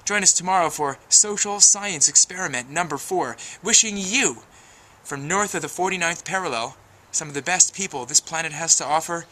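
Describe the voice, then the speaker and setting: A man's voice: fairly high pitch, normal speed, bright, forceful tone, a little hiss or hum. A man giving a wordy, emphatic, preachy advice monologue as a video blogger.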